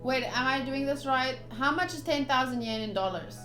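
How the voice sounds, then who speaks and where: A young woman with a fairly high voice narrates a game playthrough. She reads on-screen text expressively and drops into chatty asides, with game music behind her. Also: moderate pace, sharp tone. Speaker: game streamer. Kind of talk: playthrough commentary, reading game text aloud.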